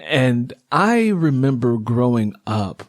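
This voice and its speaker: A man in his fifties hosting a conversational interview, reflective and halting as he works out his phrasing. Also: mid-range voice, sing-song, no room sound, clean studio sound. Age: 50s. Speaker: interview host.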